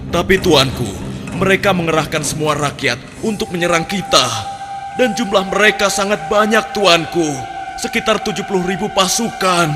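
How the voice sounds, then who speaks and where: A man's voice: high-pitched, moderate pace, over music, natural voice. A man playing a humble, deferential soldier in a cartoon, speaking respectfully and earnestly to his superiors. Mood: apologetic, urgent. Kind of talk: Indonesian cartoon voice acting.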